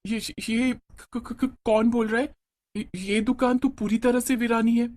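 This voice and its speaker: A man's voice: very high voice, level tone, measured pace, a little mellow, pitched above the performer's own voice. A man voicing a worried, timid young village woman in a cartoon moral story, hesitant and earnest.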